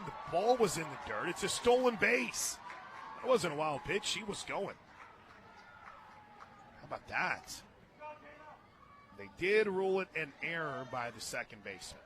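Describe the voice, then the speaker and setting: American male radio play-by-play announcer, higher-pitched, very lively, wry and chatty between pitches, noisy outdoor ballpark behind. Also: measured pace, long pauses. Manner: conversational, wry asides between calls. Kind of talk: live softball radio commentary.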